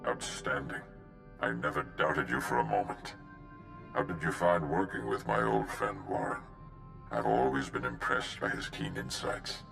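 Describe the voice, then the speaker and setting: A grave, encouraging Jedi master from a video game. The voice sits extremely low and rumbles with heavy gravel. It moves expressively in a roomy echo. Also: male voice, normal speed, full character voice. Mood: warmly approving. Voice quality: very deep, gravelly. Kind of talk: scripted video game cutscene acting. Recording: roomy echo under loud music.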